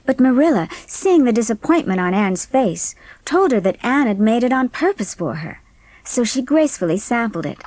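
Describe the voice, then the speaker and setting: Slightly husky woman narrating a story. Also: on the high side, big pitch swings, normal speed, darkish tone, no echo. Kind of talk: scripted audiobook storytelling.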